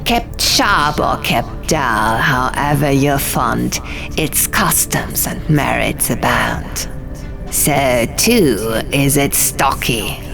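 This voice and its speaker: A woman voicing a haughty, menacing male scribe god in an audiobook, with slow, pompous, riddling declamation. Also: very deep voice, gravelly, full character voice. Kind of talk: audiobook character voice. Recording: music behind the voice.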